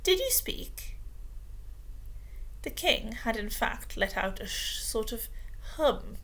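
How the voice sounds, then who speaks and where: Gravelly-voiced woman reading a children's story. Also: a little high, a little slow. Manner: expressive, often dropping to a hushed whisper. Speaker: storybook reader.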